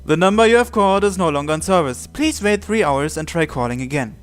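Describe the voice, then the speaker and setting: A man's voice: fairly high pitch, lively intonation, fast talker, faint music behind. Man reading a visual novel aloud. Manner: reads narration and every character's lines in his own voice. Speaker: let's-play host.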